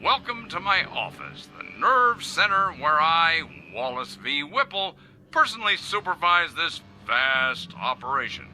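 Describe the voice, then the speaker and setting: An actor playing a pompous, self-satisfied factory boss in a radio drama, presenting to shareholders with a showy salesman's delivery and grand pauses. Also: a little high, big pitch swings, pushed and bright, faint music behind, full character voice, low-quality recording. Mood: boastful, upbeat. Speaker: company head addressing shareholders. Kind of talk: radio drama acting as a corporate video presentation.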